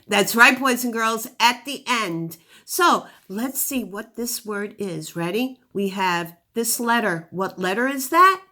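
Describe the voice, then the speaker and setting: Very slow, bright woman teaching phonics. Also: fairly high pitch, expressive. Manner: encouraging, with praise and questions to pupils; sounds out letters and words with exaggerated articulation. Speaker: teacher of young children. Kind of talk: phonics lesson addressed to children.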